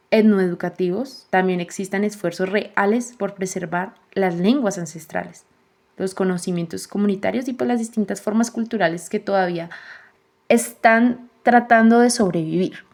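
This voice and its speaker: A woman's voice: medium pitch, darkish tone. Earnest young woman reflecting as host. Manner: earnest, measured, many "pues" fillers. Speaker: podcast host and narrator. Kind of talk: reflective voice-over narration.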